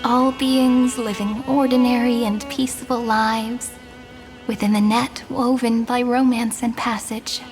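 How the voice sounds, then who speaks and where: A woman as a soft-spoken gentle prophetess. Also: a little high, steady tone, unhurried, lightly acted. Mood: solemn. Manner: soft, solemn, reverent. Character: gentle wistful young woman prophesying a new world. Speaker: game character. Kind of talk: game cutscene voice acting. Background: orchestral music.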